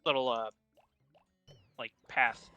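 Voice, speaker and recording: A young man with a bright, forceful voice, chatting loosely over a video game. He laughs a lot and trips over his own sentences. The sound is dry and close, with faint music underneath. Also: medium pitch, fairly even intonation, takes it slowly, dry recording, highs cut off. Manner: laughs often, rambling with restarts. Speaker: co-host, friend on the stream. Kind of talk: casual gaming chat.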